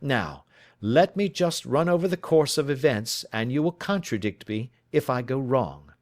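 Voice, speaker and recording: Man voicing a suave detective, precise, lecturing, animated mid-pitch voice. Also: measured pace, no room sound, clean studio sound, close to an everyday voice. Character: calm, sharp-witted male detective. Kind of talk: audiobook reading.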